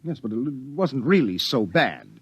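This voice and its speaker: An actor playing a nervous young reporter who asks hesitant, uneasy questions. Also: male voice, medium pitch, very animated, a little slow, breathy edge. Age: young adult. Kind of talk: 1950s radio acting. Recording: dull 1950s radio broadcast.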